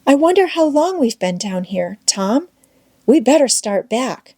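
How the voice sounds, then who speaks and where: A woman's voice: sits high, big pitch swings, unhurried, a little mellow, voice pushed up, exaggerated voice. A woman voicing a frightened young girl in a storybook, anxious and pleading. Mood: apprehensive. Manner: pleading, apprehensive. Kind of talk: children's novel read aloud.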